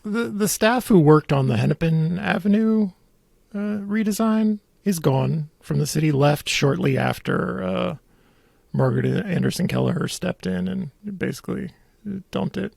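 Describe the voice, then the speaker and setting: A man's voice: high voice, big pitch swings, normal speed, creaky, darkish tone, no echo. A man hosting a casual commentary podcast, talking in a dry, wry and blunt way. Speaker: podcast host. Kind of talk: casual conversational political commentary.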